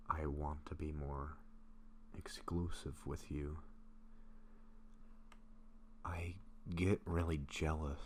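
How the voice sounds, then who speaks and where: A man playing a gentle, apologetic, lovestruck vampire in an intimate audio roleplay, speaking in hesitant, breathy murmurs and halting phrases. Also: extremely low pitch, slight background noise. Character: gentle, apologetic lovestruck young male vampire, shyly hungry.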